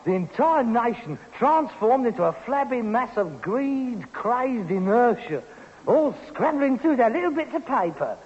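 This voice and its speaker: An actor playing a pompous, self-pitying grown son with a London accent and mock-grand airs, declaiming melodramatically in an old British radio sitcom. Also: male voice, high-pitched. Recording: muffled old radio recording, hiss, long reverb.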